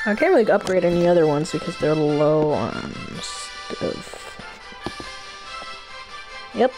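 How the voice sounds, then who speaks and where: A woman's voice: low-pitched, expressive, slow, rough recording. A young adult woman giving casual, slangy game commentary with offhand swearing. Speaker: game streamer. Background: game music.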